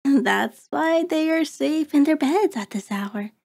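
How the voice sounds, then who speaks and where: A woman's voice: sits high, animated, measured pace, creaky, a little mellow, heavily acted. A woman playing a cruel, predatory vampire in an ASMR horror roleplay, taunting and haughty, savoring words in formal diction. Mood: mocking, haughty. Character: cruel, predatory female vampire toying with her captive.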